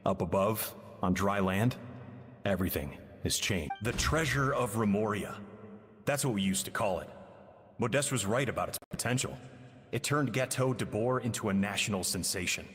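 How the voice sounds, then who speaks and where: An actor playing a regretful chef recounting his past with a lost friend in slow, somber narration. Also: on the low side, fairly bright tone, big-room sound, natural voice. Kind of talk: game cutscene narration.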